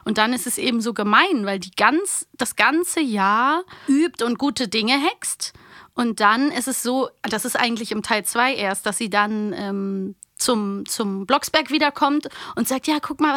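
A woman's voice: a little high, measured pace, fairly bright tone, no room sound, studio quality. A woman co-hosting a podcast in casual, conversational chat. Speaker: podcast co-host. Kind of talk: casual chat about audio dramas.

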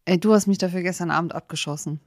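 A woman co-hosting a podcast, telling stories in an animated, giggly way in casual, humorous chat. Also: lowish voice, quick pace, no room sound, clean studio sound. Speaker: podcast co-host.

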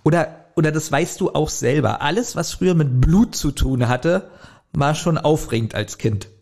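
A man's voice: a little high, expressive, moderate pace, vocal fry, a little mellow. A man co-hosting a podcast, casually commenting and thinking aloud in loose phrases. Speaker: podcast co-host. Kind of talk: casual chat, commenting on an audio drama.

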